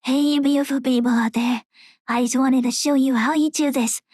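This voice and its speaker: A young woman a man behind an AI voice changer chats to an online audience. The pitch is high and the sound is very dry. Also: fairly even intonation, moderate pace, a little mellow, no echo. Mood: chatty.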